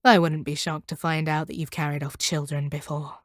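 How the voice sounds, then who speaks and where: Woman playing a low-voiced, domineering monster hunter. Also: level tone, no room sound, clean studio sound, close to an everyday voice. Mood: cold, menacing. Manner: domineering, condescending, measured. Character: proud, cold, bitter monster hunter woman taming a captive. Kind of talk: scripted audio roleplay monologue.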